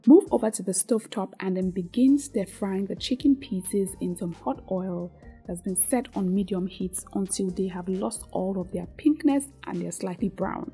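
A woman's voice: medium pitch, normal speed, dark tone, faint music behind. A woman hosting a cooking tutorial, addressing viewers directly in a scripted, presenter-like way. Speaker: cooking show host.

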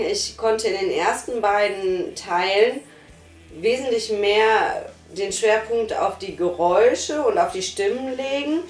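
A tired young woman reviewing a radio drama in casual chat, measured and thoughtful, sitting on a sofa. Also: mid-range voice, a little mellow. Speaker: podcast reviewer. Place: living room, sitting on a sofa. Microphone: far camera. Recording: roomy, far from the mic, faint music.

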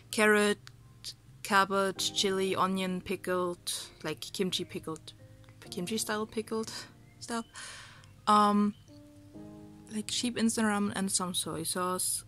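A young woman talks casually into a nearby camera in a small bedroom, hesitant and full of fillers. She sounds slightly sick, her voice sits at a middling pitch, and faint music plays underneath. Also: fairly even intonation, slow, pauses often, bright, forceful tone. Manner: chatty, hesitant, many fillers. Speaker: vlogger. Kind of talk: casual talk to camera and food voice-over.

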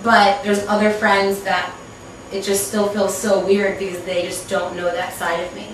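A woman's voice: mid-range voice, little pitch movement, moderate pace. A young woman guest hosting, chatting casually. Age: young adult. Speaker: guest host. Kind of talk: casual chat. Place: small indoor living room. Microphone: far camera. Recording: distant mic, echoey room, faint hiss.

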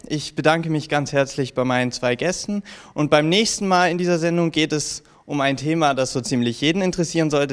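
A man's voice: a little high, clear, resonant tone. A young man presenting and asking prepared interview questions as a TV host into a handheld microphone. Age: young adult. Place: small indoor information room with bookshelves. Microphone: handheld reporter microphone.

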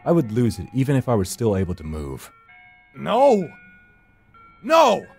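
A man with a fairly low, mellow and dark voice narrates a first-person horror story. His delivery is brooding and theatrical, suited to a visual novel, with faint music behind him. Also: very animated, quick pace.

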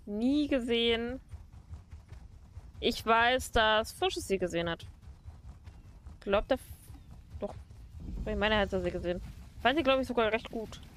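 A woman's voice: fairly high pitch, unhurried, pauses often, a little hiss or hum. A young woman streaming a game, chatting casually with viewers and laughing often. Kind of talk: casual let's play chat.

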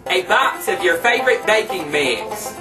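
A man's voice: high-pitched, expressive, measured pace. Man playing a campy trailer park homemaker, off-mic. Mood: folksy, cheerful instructing. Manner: folksy, instructional, tongue-in-cheek. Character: campy trailer park queen homemaker, thrifty Southern-style woman. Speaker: cooking show host. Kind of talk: homemade cooking demonstration. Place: small home kitchen. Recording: distant camera microphone, music behind.

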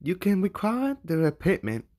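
A man's voice: high voice, big pitch swings, moderate pace, darkish tone. A man voicing a doting, theatrical owl demon prince and father in an amateur cartoon comic dub. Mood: doting, instructive.

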